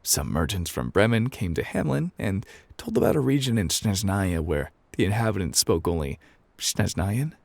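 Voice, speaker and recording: A man acting as a gruff male game character reading a bedtime storybook. His voice sits low with a gravelly fry, and it swings expressively between offhand, unbothered lines and dramatic pauses. The sound is close and dry, made for ASMR. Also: very animated, a little mellow, no room sound, heavily acted. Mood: offhand, unhurried.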